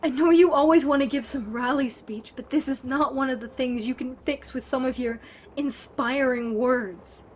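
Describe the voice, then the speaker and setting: A woman's voice: high voice, normal speed, a little hiss or hum, natural voice. A woman playing a bitter, guilt-ridden, foul-mouthed woman in an audio drama, blunt and defensive in manner. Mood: despairing, pleading.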